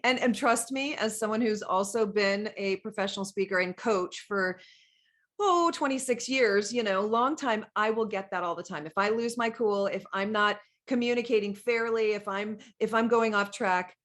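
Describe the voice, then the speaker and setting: A woman's voice: mid-range voice, normal speed, a bit bright. A middle-aged woman hosting an interview podcast, speaking in an effusive, emphatic and enthusiastic way. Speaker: podcast host.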